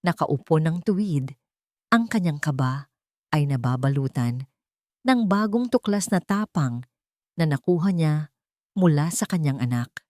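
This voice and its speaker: A woman reading a scripted dramatic story in measured, suspenseful phrases. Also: sits low, lively intonation, warm tone, no echo, studio quality.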